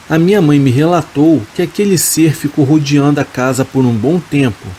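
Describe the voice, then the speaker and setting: A man reading a first-person horror story aloud in a slow, calm, measured way, as a storytelling narrator. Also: medium pitch, a little hiss or hum. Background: rain sounds.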